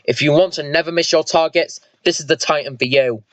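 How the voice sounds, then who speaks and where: A man's voice: fairly high pitch, fairly even intonation, a little fast, fairly bright tone, no echo, lightly acted. A man voicing an eager, vengeful squad leader and mech pilot in an amateur sci-fi story, informal and explanatory. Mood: proud, explaining.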